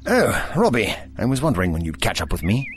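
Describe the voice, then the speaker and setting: A man's voice: on the low side, huge pitch swings, a little fast, a little hiss or hum. A man acting in an audio drama as a genteel, cautious, fussy adventurer with courteous, old-fashioned phrasing. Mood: calm, composed. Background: background music.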